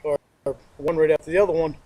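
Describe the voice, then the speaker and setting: A man giving slow, repetitive workout instructions and counting out reps, sniffling a little. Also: a little high, fairly even intonation, mellow, slight background noise, low-quality recording. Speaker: amateur workout video presenter. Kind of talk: homemade workout demonstration.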